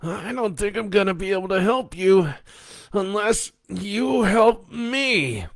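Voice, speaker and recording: A man voicing a desperate, defiant grown man who sounds strained and breaks into shouts. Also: sits high, animated, takes it slowly, dry recording, clean studio sound, pitched above the performer's own voice, heavily acted. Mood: pained. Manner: strained and pleading, rising to shouts.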